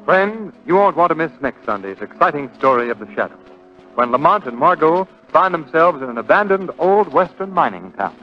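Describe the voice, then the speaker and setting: A man's voice: a little high, sing-song, brisk, faint music behind. Muffled vintage male radio announcer. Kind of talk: 1940s radio announcing, scripted. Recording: muffled 1940s radio broadcast.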